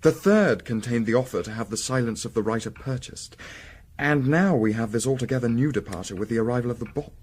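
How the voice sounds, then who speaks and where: Precise, courteous English gentleman investigator, played by a man. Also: mid-range voice, husky, lightly acted. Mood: analytical. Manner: precise, formal, measured, politely probing. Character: courteous, analytical gentleman chemist investigating a case. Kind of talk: period radio drama acting.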